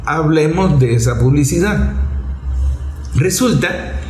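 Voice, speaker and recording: A man's voice: a little high, animated, slow, darkish tone, echoey room, soft background music. A man with a Venezuelan accent delivers a political commentary monologue as a show host, explaining didactically in short, emphatic, repeated phrases.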